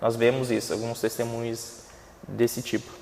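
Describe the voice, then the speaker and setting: A man with a Brazilian Portuguese accent leads a church Bible class, his voice carrying a long reverb through the hall. He talks in a loose, conversational way, pausing on fillers and restarting his sentences. His pitch sits in an ordinary middle range. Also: little pitch movement, vocal fry, a little mellow, a little hiss or hum. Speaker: Sunday school teacher.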